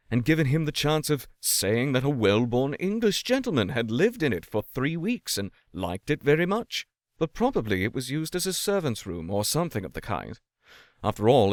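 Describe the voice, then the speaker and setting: Male story narrator, measured literary delivery, animated intonation, close dry sound. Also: medium pitch, no room sound. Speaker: audiobook-style story reader. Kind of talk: scripted narration of a classic ghost story.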